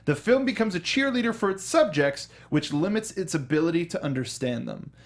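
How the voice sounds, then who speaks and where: A man hosting an informal film review show, talking casually and haltingly with emphatic repetition. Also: high voice, lively intonation. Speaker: talk show host.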